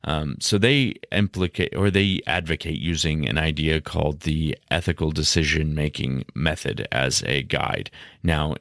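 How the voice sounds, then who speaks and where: A man hosting a solo educational podcast, explaining ideas in measured, partly scripted phrases. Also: a bass voice, a bit bright, no echo, clean studio sound. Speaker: podcast host.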